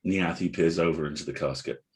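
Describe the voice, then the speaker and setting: A man's voice: deep voice, a little fast, slightly rough. A man narrating a script, reading out short stage directions.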